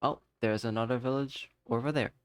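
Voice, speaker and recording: A man chats casually through a live game stream, talking to himself and his viewers as he plays. His voice is smooth and resonant. It is recorded close and dry on a desk microphone. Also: mid-range voice, steady tone, brisk, no room sound. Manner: chatty, talks to himself and to chat viewers. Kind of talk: casual live-stream chat while gaming.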